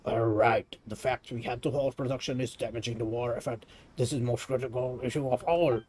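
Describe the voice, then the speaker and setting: A man's voice: medium pitch, steady tone, a little fast, a little mellow, breathy edge, voice pushed down, close to an everyday voice. An Indian man voicing a stubborn, officious camp officer from a video game, curt and dismissive. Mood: insistent. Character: stubborn, dismissive military camp officer, defensive about authority.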